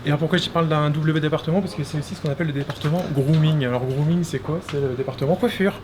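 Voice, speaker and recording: A man's voice: fairly high pitch, steady tone. A man casually explaining an animation studio on a walking tour, hesitant and full of restarts. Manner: halting, many restarts and fillers. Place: animation studio offices, indoors. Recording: loud background noise.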